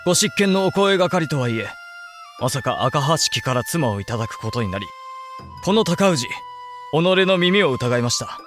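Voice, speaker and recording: A man's voice: fairly high pitch, big pitch swings, moderate pace, over music. A man playing an earnest, self-deprecating young samurai lord in a Japanese period audio drama, speaking in formal archaic style. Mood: earnest, doubtful.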